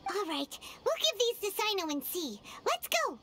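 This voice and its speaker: A woman voicing a small, excitable female fairy companion in a game, with a high, chirpy voice. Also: normal speed, a little hiss or hum, full character voice. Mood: eager. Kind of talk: game voice acting. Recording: game audio with loud background music.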